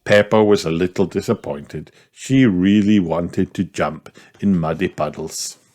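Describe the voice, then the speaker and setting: A man reading a children's picture book aloud in an expressive storytelling manner. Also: low-pitched, measured pace. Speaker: storybook reader. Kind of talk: scripted children's story narration.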